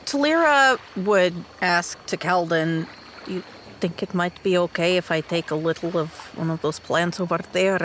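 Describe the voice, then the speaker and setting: Slow, expressive woman roleplaying casually. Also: on the low side, slight background noise. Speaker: tabletop game player. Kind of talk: casual in-character roleplay chat.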